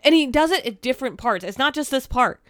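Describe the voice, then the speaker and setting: Woman podcast co-host, casual and animated, nasal and fraying voice, dry close mic. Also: sits high, fast talker, no room sound. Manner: animated, swears, acts out quoted lines. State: losing her voice after a cold, stuffy nose. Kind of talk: casual chat recapping a film.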